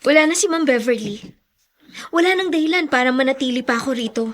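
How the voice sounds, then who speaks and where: An actress playing a defiant, principled household maid in a radio drama, speaking firmly and emphatically. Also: female voice, high-pitched, expressive, fast talker. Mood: polite, resolute. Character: defiant, principled young household maid standing her ground. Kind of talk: Tagalog radio drama acting.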